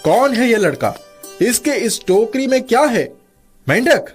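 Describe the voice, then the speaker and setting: A man's voice: very high voice, big pitch swings, unhurried, soft background music, full character voice. A man voicing a proud, wily king in a cartoon story, with a stately, commanding manner. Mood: suspicious. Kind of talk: Hindi cartoon story character dubbing.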